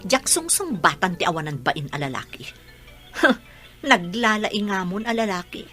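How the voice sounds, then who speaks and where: A woman's voice: medium pitch, big pitch swings, moderate pace, bright, forceful tone, soft background music. A woman playing an embittered, quarrelsome mother in a radio drama, with a scolding, indignant manner. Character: embittered, quarrelsome mother of two, separated from husband. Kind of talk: Ilocano radio drama acting.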